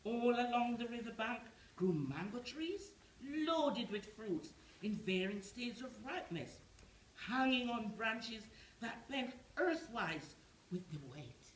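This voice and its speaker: Expressive older woman storyteller, echoey room. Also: on the high side. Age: 70s. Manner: expressive, measured storytelling with emphatic phrasing. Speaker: storyteller in an amateur pantomime. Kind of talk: bedtime story read aloud on stage. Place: small theatre stage. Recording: roomy theatre echo with faint noise.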